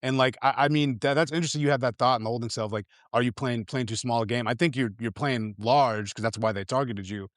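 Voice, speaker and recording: Man, mid-range voice, animated and emphatic, dry sound. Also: medium pitch, fast, few pauses, no echo. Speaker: journalist guest. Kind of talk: casual conversation.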